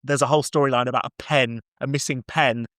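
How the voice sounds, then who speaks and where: A man chatting casually about films, acting out bits of dialogue as he tells it in an animated way. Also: mid-range voice, fast, dry recording, clean studio sound. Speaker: podcast guest film reviewer. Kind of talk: casual, chatty film discussion.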